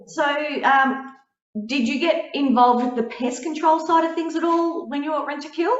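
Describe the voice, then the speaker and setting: A woman's voice: sits high, steady tone, normal speed. Chatty woman host, roomy lo-fi sound. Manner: chatty, laughs often, restarts sentences. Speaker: podcast host. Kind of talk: casual video interview. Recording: dull lo-fi sound in a roomy space.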